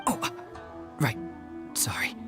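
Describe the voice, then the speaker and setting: A man's voice: a little slow, in a whisper, over loud music, close to an everyday voice. A man playing a worried, gossiping townsman in a video game. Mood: sheepish.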